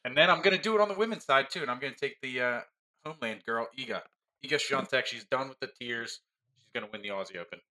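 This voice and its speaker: A man's voice: medium pitch, quick pace. A man on a betting panel, boastful and playful, laughing as he gives his picks. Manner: boastful, animated, laughs a lot. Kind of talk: casual panel chat.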